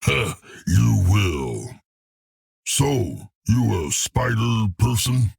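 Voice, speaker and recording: A huge, simple-minded brute with a gravelly, rough voice, talking slowly and bluntly. His pitch swings wildly, and the husky tone is recorded dry and close. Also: very animated, darkish tone, dry recording, heavily acted. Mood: boastful, gruff. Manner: slow, blunt, childlike speech about himself in third person.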